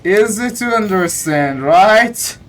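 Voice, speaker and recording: A man's voice: sits high, expressive, slow. A man giving casual, step-by-step commentary, explaining as he goes. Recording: faint background noise.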